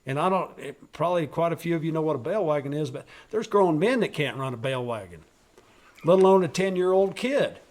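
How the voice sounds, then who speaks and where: Man, podcast host, blunt, forceful, swearing, high-ish voice. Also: lively intonation, fairly quick. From rural western United States, raised on a ranch. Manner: blunt, forceful, swears freely, raises voice to make a point. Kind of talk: opinionated political talk.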